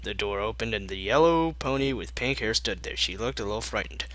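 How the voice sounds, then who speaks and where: A man's voice: lowish voice, animated, a little fast, a bit bright, no echo, poor audio. A man narrating fan fiction in a mocking style, with sarcastic, exasperated asides.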